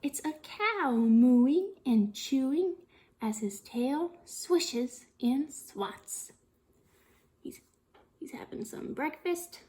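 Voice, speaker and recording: Female children's storytime reader, high voice with big swoops, sing-song and interactive, speaking to little ones. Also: expressive, warm tone. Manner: sing-song, slow and deliberate, with exaggerated expression and frequent questions. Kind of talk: interactive read-aloud of a picture book for young children.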